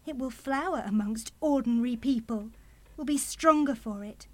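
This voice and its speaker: A woman's voice: on the high side, normal speed, darkish tone, close to an everyday voice. An actress in a radio play playing a bitter, fiercely protective young mother, indignant and cutting in her delivery. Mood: firm, proud.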